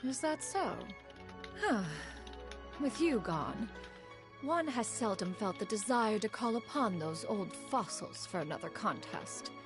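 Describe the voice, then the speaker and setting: A woman plays an ancient crane spirit who talks of herself as "one", pompous and grand in old-fashioned phrasing. Her voice swoops up and down with lively inflection, with soft game music behind. She sounds lofty and sure of her own brilliance. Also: mid-range voice, unhurried, natural voice. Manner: archaic and formal, refers to herself as "one", pompous. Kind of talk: game cutscene dialogue.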